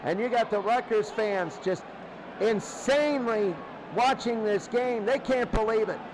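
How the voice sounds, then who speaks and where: A man calling live American football play by play in an excitable, exclaiming manner. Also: sits high, a little slow, a crowd in the background. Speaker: play-by-play announcer. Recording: clearly noisy recording.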